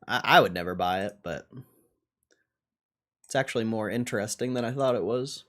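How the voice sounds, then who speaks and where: A man's voice: lowish voice, moderate pace, a bit bright. A man livestreaming casual stock commentary, thinking aloud in a blunt, slangy, offhand way with plenty of swearing. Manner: foul-mouthed, blunt.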